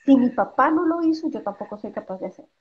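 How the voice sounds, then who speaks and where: An emphatic woman in her forties talks at a fairly high pitch, throwing in rhetorical questions and acting out what parents would say. She speaks over a video call from a room at home. The sound is dull and lo-fi. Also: animated, quick pace. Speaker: guest speaker. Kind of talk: explanatory interview talk.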